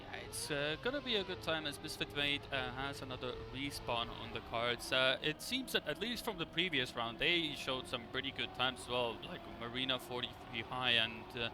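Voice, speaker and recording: A man giving live, conversational esports commentary in a halting, rambling way as he follows the play. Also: medium pitch, animated. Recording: clear background noise.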